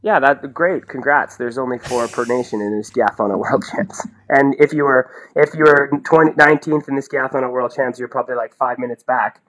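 A man with a medium-pitched voice co-hosting a casual sports podcast. He talks in an enthusiastic, emphatic way and tends to ramble. The style is loose and conversational, like analysis between friends. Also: fairly quick, hardly any pauses, fairly bright tone, poor audio. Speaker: podcast co-host and ski racing commentator.

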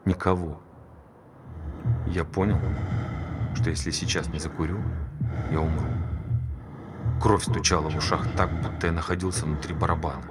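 A man's voice: sits low, steady tone, normal speed, long pauses, lightly acted. An actor playing an agitated ex-smoker father, telling his ordeal as an intense theatrical monologue on a noisy stage recording. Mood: hushed, shaken. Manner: intense, dramatic storytelling.

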